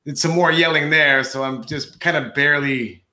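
A man with a hoarse voice chatting on a podcast, laid-back, full of "like" and "you know". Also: a little high, steady tone, moderate pace, bright, forceful tone. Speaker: podcast co-host. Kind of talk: casual chat. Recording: echoey room.

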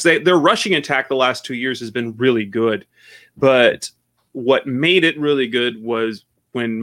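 A man from Arizona, a guest on a podcast, explaining football in long, steady, analytical sentences. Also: mid-range voice, no room sound, studio quality. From Arizona, USA. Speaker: podcast guest, sports site manager. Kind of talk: casual interview answers.

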